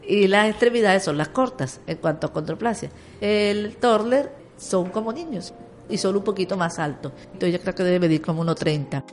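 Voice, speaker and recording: A woman's voice: medium pitch, moderate pace, faint music behind. A woman, a guest in an interview, talking in an earnest, upbeat way and explaining at length. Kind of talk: conversational interview.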